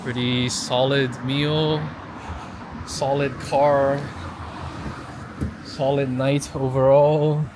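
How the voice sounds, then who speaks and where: A man's voice: mid-range voice, level tone, drawn-out words, pauses often. A man in his twenties chatting casually to a handheld camera as he vlogs. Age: 20s. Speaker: vlogger. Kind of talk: casual vlog chat to camera. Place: outdoors, in a car and in a shop. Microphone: handheld vlog camera at arm's length. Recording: noisy street and car ambience.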